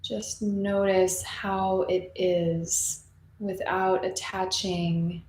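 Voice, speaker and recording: Female meditation teacher, soothing and slow-paced, leaving long gaps, recorded in a roomy space. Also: mid-range voice, flat delivery, darkish tone. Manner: soothing, unhurried, with long pauses. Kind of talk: guided meditation, gently spoken and unscripted. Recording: roomy echo, faint background noise.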